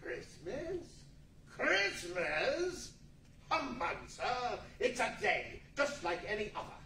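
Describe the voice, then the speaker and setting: A man performs an old miser turned generous and exuberant in a live radio play. His theatrical voice is high and very lively. It is heard from far off in an echoey meeting room. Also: slow, vocal fry, a little hiss or hum, exaggerated voice. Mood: mock stern. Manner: theatrical, effusive. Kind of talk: 1940s radio play acting before an audience. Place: large indoor meeting room, live stage reading. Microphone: far camera.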